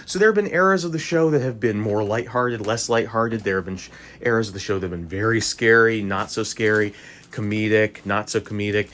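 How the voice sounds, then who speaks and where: A man's voice: lowish voice, a little fast, fairly bright tone. A man gives rambling, informal commentary like a fan talking to followers. He is jokey and digressive, with loose, sweary phrasing. Faint background noise sits under his voice. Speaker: host of a fan story guide. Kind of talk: casual rambling video commentary on sci-fi stories.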